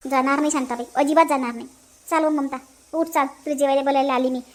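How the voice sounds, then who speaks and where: Comedy cartoon housewife, acted: middle-aged, bossy, very shrill-high pitch, Varhadi Marathi, emphatic scolding. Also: steady tone, fast, close to an everyday voice. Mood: indignant, bossy. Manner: scolding, emphatic, repeats phrases. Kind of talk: comic family cartoon dialogue.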